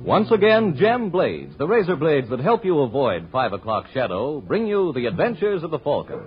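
A man's voice: on the high side, big pitch swings, normal speed. A man announcing and narrating a script in 1940s radio style. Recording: muffled old radio broadcast with music.

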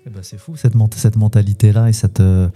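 A man co-hosting a podcast, asking several questions in a row in a relaxed way. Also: fairly low pitch, brisk, faint music behind. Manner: relaxed, asks stacked questions. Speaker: podcast co-host. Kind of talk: interview questions.

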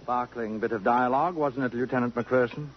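Man playing a smooth-spoken, sardonic society snob, muffled radio. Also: medium pitch, fairly even intonation, brisk, a little hiss or hum, lightly acted. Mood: dry, sardonic. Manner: arch, precise, pompous diction. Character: suave, sardonic, possessive society man recounting his story. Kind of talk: 1950s radio drama acting. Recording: muffled vintage radio broadcast.

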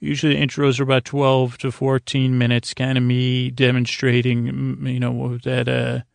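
Creaky, soothing man rambling at bedtime. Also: mid-range voice, monotone, no room sound. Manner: soothing, lulling, halting delivery. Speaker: host of a bedtime podcast. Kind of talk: rambling, meandering monologue for falling asleep.

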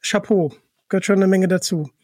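A man with a notably high voice hosts a relaxed interview. He speaks appreciatively and pays compliments. The recording is dry, without room sound. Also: fairly quick, dry recording. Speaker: interviewer, host. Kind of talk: casual interview chat.

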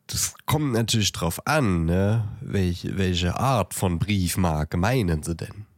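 A man's voice: sits low, animated, slow pace, no echo, studio quality, exaggerated voice. A man playing an obliging, flustered post office clerk who stammers hesitantly in bemusement in an amateur audio drama.

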